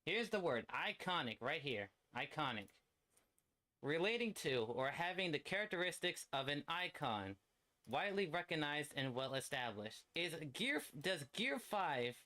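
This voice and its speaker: A man's voice: on the high side, sing-song, unhurried, sharp tone. A man in casual gaming banter, opinionated and slangy, swearing freely as he makes his point. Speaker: streamer.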